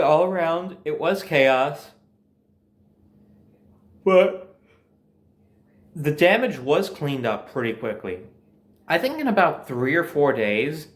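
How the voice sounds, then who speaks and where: A man with an average-pitched voice recounts his own experiences as a storyteller. His delivery is informal and conversational, stressing points emphatically and swearing freely. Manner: informal, profanity-laced, emphatic. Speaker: storyteller host. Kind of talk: casual personal storytelling.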